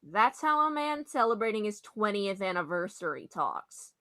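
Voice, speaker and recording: A woman's voice: medium pitch, big pitch swings, moderate pace, fairly bright tone. A woman playing a nosy, bossy, know-it-all hotel attendant in an old-time radio comedy mystery, with a brash, exclamatory manner. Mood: knowing, pushy.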